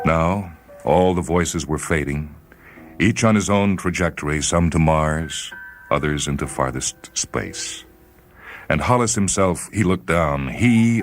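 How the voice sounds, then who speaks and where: A man reading a short story as dramatic literary narration, measured and solemn. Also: very deep voice, creaky.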